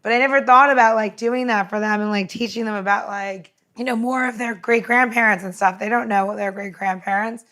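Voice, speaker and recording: A woman's voice: mid-range voice, fairly even intonation, a little fast, hardly any pauses, fairly bright tone. A woman in casual podcast chat, rambling with lots of filler words.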